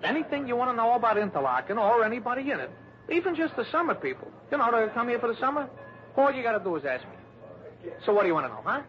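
A man's voice: extremely high pitch, sing-song, fast, slight background noise. An actor playing a pushy, scruffy American small-town informant in his thirties, wheedling and folksy in an old radio drama. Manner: folksy, wheedling, repetitive, tags questions with huh and see. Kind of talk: 1960s radio drama acting. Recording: dull vintage radio recording, crowd murmur.

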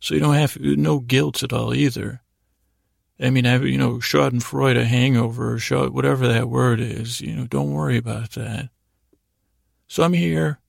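A man with a heavily creaky, gravelly voice hosts a sleep podcast. He keeps his pitch fairly even as he meanders through halting, self-interrupted sentences. The delivery is lulling and mildly self-deprecating, recorded close and dry. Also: dry recording. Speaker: podcast host. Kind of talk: rambling bedtime monologue to help listeners fall asleep.

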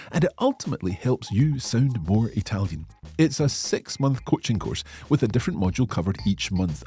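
A man hosting a podcast lesson as the teacher, speaking in a clear, enthusiastic teacherly way. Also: lowish voice, darkish tone. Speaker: language teacher and podcast host.

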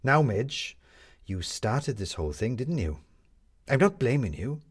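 A man's voice: medium pitch, very animated, unhurried, breathy edge, pitched above the performer's own voice. A man voicing a commanding, wry woman reporter in a story, articulate and sardonic as she lectures. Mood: brisk, firm.

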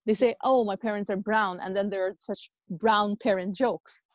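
A woman's voice: mid-range voice, fairly even intonation, normal speed, no room sound, muffled recording. A woman from the United States speaking as an interview guest in an earnest, conversational way, emphatic and fond of anecdotes and rhetorical questions. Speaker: podcast guest, Islamic educator and youth workshop leader.

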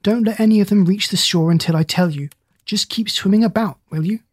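A woman reads a kindly animal doctor in a children's storybook. He is polite yet morally stern, giving measured orders in a slightly husky voice with no room sound. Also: mid-range voice, darkish tone, no room sound, natural voice. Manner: measured, firm, polite commands.

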